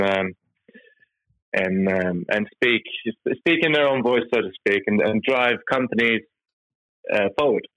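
A man from Norway, a company chief executive, answering interview questions in a halting way, with many fillers and restarts. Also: fairly low pitch, pushed and bright, no room sound, rough recording.

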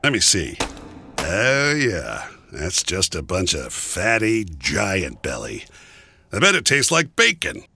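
A storybook trickster coyote, played by a man, cocky and unfazed by any danger. The voice is bright and a little husky, swinging freely in pitch as it tosses out flippant, casual lines. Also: wildly swooping pitch, slow pace, no echo, full character voice. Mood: cheerful, cocky. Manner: breezy, flippant, casual slang.

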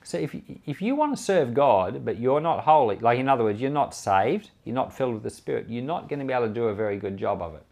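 Expressive male pastor teaching the Bible. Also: mid-range voice, quick pace, creaky, hardly any pauses. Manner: conversational, explanatory, earnest. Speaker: pastor and Bible podcast host. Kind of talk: informal Bible commentary, teaching.

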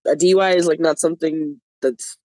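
A person in their twenties, an interview guest, telling a personal story in a chatty, animated way. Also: mid-range voice, a little fast, no room sound. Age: 20s. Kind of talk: casual storytelling.

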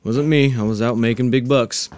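A man's voice: medium pitch, steady tone, normal speed, a little mellow, close to an everyday voice. An actor playing a skeptical, understated farmer and family man in an 1890s audio drama. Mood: guarded. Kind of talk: acted screenplay reading.

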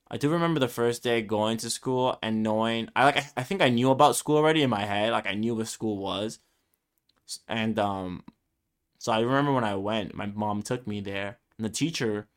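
A man's voice: on the low side, a bit bright, smooth. A man in his twenties, a Spanish-speaking Brooklyn native, rambling alone on his podcast with many ums and restarts. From Brooklyn, New York. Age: 20s. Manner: rambling, self-deprecating, lots of ums and restarts. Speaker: podcast host. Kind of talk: solo casual podcast monologue.

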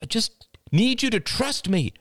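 Casting: male reader as frantic young mum, lifted pitch, pleading and exasperated, audiobook drama. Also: very animated, a little slow, a bit bright, dry recording, clean studio sound, exaggerated voice. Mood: urgent, pleading. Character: anxious, exasperated young mother on the phone. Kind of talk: audiobook character voice.

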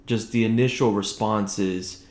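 A man with a fairly low voice hosts a casual solo sports podcast. He talks conversationally, holding his volume down as if others are asleep nearby. The home recording has a clearly echoey, roomy sound. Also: fairly even intonation, measured pace, a little mellow. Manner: conversational, keeping voice low late at night. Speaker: podcast host. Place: indoors at home, echoey room.

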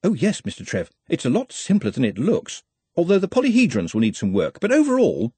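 A man plays a bookish, deferential fantasy underling. He speaks precisely and earnestly, explaining things pedantically, with a husky tone and animated rises and falls. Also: fairly high pitch, brisk, no echo, voice pushed up, exaggerated voice. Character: erudite, polite, earnest male fantasy servant creature.

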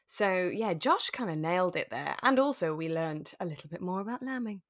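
A woman's voice: on the low side, animated, fairly quick, dry recording, studio quality. A woman co-hosting a podcast, chatting casually and informally about a radio drama. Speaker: podcast co-host.

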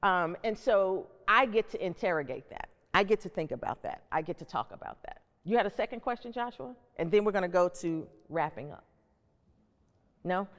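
A middle-aged woman moderating a reflective discussion, speaking deliberately and emphatically with repeated phrases. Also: fairly low pitch, lively intonation. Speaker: host and panel moderator. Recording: echoey hall with long reverb.

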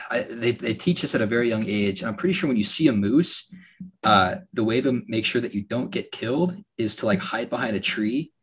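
Laid-back slightly raspy young male host. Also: mid-range voice, moderate pace. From Alaska. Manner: relaxed storytelling with frequent fillers and laughs. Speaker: podcast host. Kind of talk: casual podcast chat.

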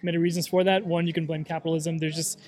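A man in his late twenties chatting casually as host, often repeating words and tossing in quick agreement. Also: a little high, little pitch movement, darkish tone. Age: 20s. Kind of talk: casual chat.

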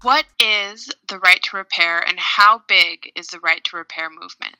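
A young woman from Hawaii co-hosting a podcast, clearly explaining things step by step. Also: lowish voice, normal speed, bright, forceful tone, faint music behind, poor audio. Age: young adult. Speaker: podcast co-host.

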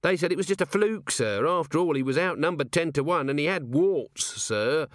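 A man's voice: fairly high pitch, animated, normal speed, no echo, studio quality, voice pushed up, close to an everyday voice. A man voicing a nervous, shifty little male corporal who stammers and hedges, peppering his talk with "sir". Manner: stammering, hesitant, full of "sir"s. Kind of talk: audiobook character voice.

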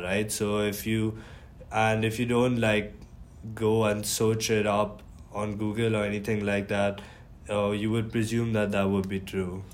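Young man from India, lowish voice. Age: young adult. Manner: earnest, explanatory with filler ums. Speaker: podcast co-host. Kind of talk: casual podcast talk.

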